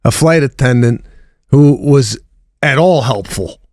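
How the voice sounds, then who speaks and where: A man's voice: medium pitch, measured pace, darkish tone, no echo, clean studio sound. Wry man riffing on a podcast. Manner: wry observational riffing, asks rhetorical questions. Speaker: podcast co-host. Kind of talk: casual comedic chat.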